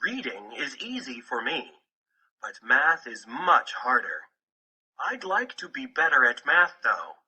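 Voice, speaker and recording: A man's voice: medium pitch, big pitch swings, a little slow, bright, forceful tone, breathy edge, rough recording. A man narrating a children's picture book from a script. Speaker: audiobook narrator.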